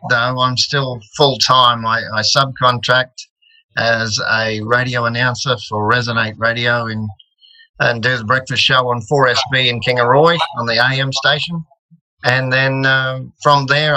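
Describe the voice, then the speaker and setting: An Australian man with a very bright, ringing voice talks easily on a video call. He switches into a rodeo announcer's patter and builds up the scene like a commentator. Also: mid-range voice, poor audio. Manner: easygoing, sets the scene like a commentator. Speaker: rodeo announcer and radio presenter. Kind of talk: casual video-call chat, rodeo-style calling.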